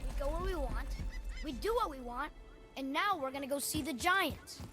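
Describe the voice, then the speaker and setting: A man's voice: very high-pitched, expressive, moderate pace, music in the background. A young male actor playing a cocky, petulant demigod boy in a video game, brash and defiant. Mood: boastful. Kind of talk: video game character acting. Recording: clear background noise.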